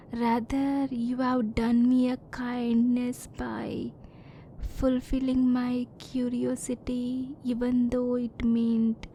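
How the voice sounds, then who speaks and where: A softly mellow, fairly high-voiced alien woman, as a woman acts her out in an ASMR roleplay. She is a curious, reserved scientist who speaks slowly, with formal, precise wording. A low rumbling noise sits faintly behind her. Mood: polite, reassuring. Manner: formal, precise, measured, emotionally restrained.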